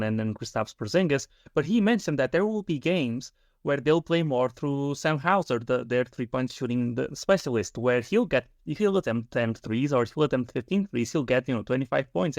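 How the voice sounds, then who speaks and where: A male podcast co-host with a gravelly, fry-laden voice at a medium pitch. He talks in long, rambling turns full of fillers as he sets up questions. The sound is dry. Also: dry recording. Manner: rambling, many fillers and restarts. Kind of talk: podcast interview, sports chat.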